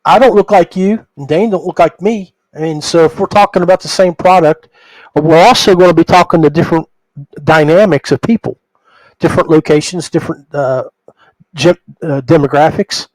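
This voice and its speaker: A man's voice: a little high, moderate pace, no echo, rough recording. An older man hosting a podcast in a casual, folksy way, talking straight to his listeners. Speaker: podcast host.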